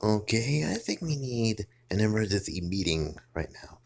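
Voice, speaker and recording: A man's voice: big pitch swings, gravelly. A man voicing the friends in a fan-made skit with a goofy delivery. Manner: jumpy pitch, slow, goofy delivery. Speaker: skit maker and narrator. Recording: music playing loudly behind.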